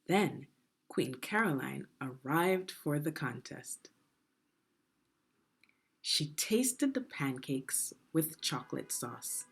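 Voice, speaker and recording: A woman reading a children's storybook aloud expressively, in a deliberate, teacherly manner. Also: deep voice, big pitch swings, gravelly, breathy edge. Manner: deliberate, teacherly, asks the listener questions. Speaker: education consultant reading a children's book.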